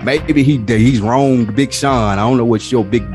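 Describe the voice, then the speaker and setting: A man's voice: mid-range voice, a little slow, darkish tone, faint music behind. An American man hosting a podcast, arguing his point casually and emphatically in slangy everyday speech. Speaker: podcast host. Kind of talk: casual opinionated debate.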